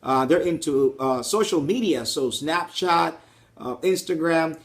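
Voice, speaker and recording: A man's voice: on the high side, unhurried. A man, a math teacher, speaking earnestly in an interview about his teaching. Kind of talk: interview to camera.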